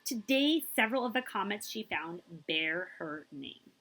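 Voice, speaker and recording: A woman's voice: mid-range voice, animated, measured pace, a bit bright, poor audio. A woman reading a picture book aloud like a children's librarian, emphatic and drawing out key words, with brief asides to her listeners.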